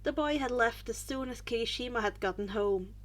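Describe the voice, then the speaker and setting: A woman's voice: fairly high pitch, a little fast. A woman narrating fan fiction as an amateur audiobook reader, reading from a script with the odd stumble.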